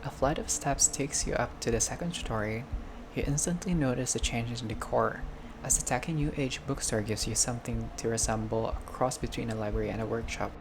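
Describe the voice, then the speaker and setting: A video game player reading a visual novel aloud as narration, with casual personal asides and expressive delivery. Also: mid-range voice, brisk, background noise. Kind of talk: playthrough narration with casual reactions. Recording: music behind the voice. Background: game music.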